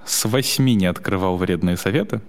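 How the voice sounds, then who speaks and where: A young man with a fairly low voice chats casually on a podcast. He talks haltingly, restarting his sentences and letting short laughs slip between thoughts. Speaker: podcast co-host.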